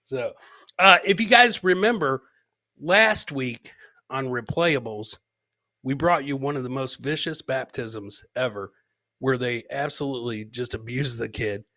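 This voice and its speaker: Male comedy podcast host, mid-pitched and bright, casual live chat, rambling, jokey, repetitive phrasing. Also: measured pace. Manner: rambling, jokey, repeats his own phrases.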